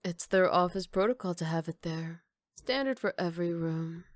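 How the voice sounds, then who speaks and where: A woman playing a flirty, cocky female villain who teases in an unhurried, smooth-talking way, in an ASMR roleplay. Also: on the low side, no room sound, studio quality, close to an everyday voice. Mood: casual, teasing.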